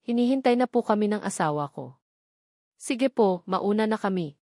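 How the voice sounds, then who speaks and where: A woman's voice: mid-range voice, lively intonation, normal speed, dark tone, no room sound, clean studio sound, close to an everyday voice. A woman narrator voicing a frightened, protective young mother in an audio romance story, nervous and evasive. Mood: nervous denial.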